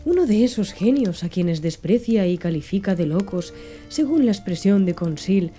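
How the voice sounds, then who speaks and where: A woman reading an audiobook in a soft, slow, hushed ASMR style, with faint background music. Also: medium pitch, expressive. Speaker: audiobook narrator.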